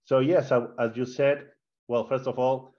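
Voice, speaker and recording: A middle-aged man from Spain with a Spanish accent, a guest telling his career story in a casual interview, animated, with many fillers and repeated words. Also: mid-range voice, moderate pace, lo-fi sound.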